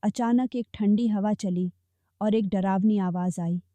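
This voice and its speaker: A woman narrating a cartoon folk story in a steady, measured way. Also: medium pitch, no room sound. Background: faint background music.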